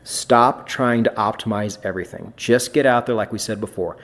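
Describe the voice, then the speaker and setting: A man's voice: on the low side, normal speed, a bit bright. A man hosting a trading podcast, talking in a blunt, emphatic way with casual swearing. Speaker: podcast host.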